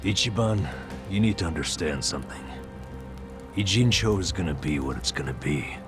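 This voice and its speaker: An actor playing a bitter, world-weary, guilt-ridden man who is gruffly protective, in a blunt, cynical video game performance. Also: low-pitched, lively intonation, normal speed, over music. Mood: grim pleading. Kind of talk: dramatic video game voice acting.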